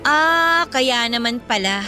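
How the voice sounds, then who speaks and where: Bright, high-voiced, defiant young Filipina girlfriend, acted by a woman. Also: animated, takes it slowly, full character voice. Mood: probing. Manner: assertive, emphatic. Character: defiant young girlfriend, outspoken and loyal to her friend. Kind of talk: radio-drama dialogue acting. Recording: music bed behind the voice.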